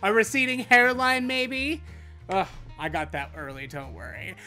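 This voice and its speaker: An American man in his late twenties chats excitedly to camera as he hosts an unboxing. His voice sits high for a man and moves in lively, theatrical swoops. He gushes freely, close to a desk microphone. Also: a little slow, soft background music. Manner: effusive, theatrical, gushing. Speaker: host of a toy unboxing show. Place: small room full of toy shelves, indoors. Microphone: desktop USB condenser microphone.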